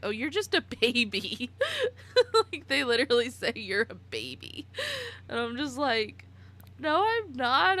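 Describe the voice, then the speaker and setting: Chatty giggly woman in her 20s streaming, bright sharp voice, kinda high. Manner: chatty, giggly, answers chat by name. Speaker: live streamer. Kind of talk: casual live-stream chat while playing.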